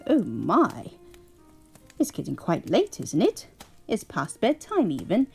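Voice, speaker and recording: A woman's voice: on the low side, huge pitch swings, slow, gravelly, darkish tone, husky, faint music behind, full character voice. A woman voicing a kindly elderly English grandmother who reads a bedtime story aloud and fusses over her grandchild. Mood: cozy. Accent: put-on English. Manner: doting, chuckling, fussing over a grandchild.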